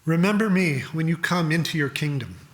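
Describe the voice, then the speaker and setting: A man's voice: a little high, expressive, moderate pace, natural voice. A man voicing an earnest, humble, penitent dying thief in a dramatic reading. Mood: earnest, pleading.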